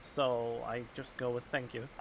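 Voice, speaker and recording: A man's voice: medium pitch, level tone, unhurried, gravelly, a bit bright, slight background noise, low-quality recording. A man streaming a video game, giving casual, chatty, reactive commentary into a desk microphone. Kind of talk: casual let's play commentary. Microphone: desk condenser microphone. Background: game music.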